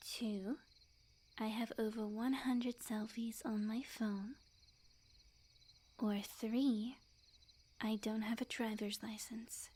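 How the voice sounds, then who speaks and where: A woman acts out a lovestruck witch in an ASMR roleplay, sweet on the surface and possessive underneath. Her voice is soft, very breathy and often whispered, with a mellow tone. She speaks slowly, coy and teasing, fully sure of herself. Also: fairly high pitch, flat delivery. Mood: playful, teasing. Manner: slow, coy, teasing, overconfident. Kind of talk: ASMR roleplay, scripted, addressed to the listener.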